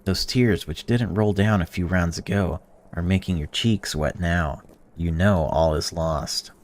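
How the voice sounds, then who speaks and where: A man with a very low voice reads a visual novel's narration aloud in an even way. He breaks off now and then to blurt out excited or indignant reactions as he plays. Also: measured pace. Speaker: gaming channel host. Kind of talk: reading a visual novel aloud while playing.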